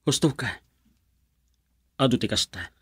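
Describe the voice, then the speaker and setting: A man's voice: mid-range voice, expressive, unhurried, husky, no echo, clean studio sound. A man playing an earnest young lover, smitten with a club girl, in a radio drama.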